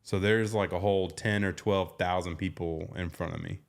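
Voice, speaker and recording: A man's voice: sits low, steady tone, normal speed, gravelly. A man co-hosting a podcast, chatting in an easygoing way and laughing often. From American South. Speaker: podcast co-host. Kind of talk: casual chat.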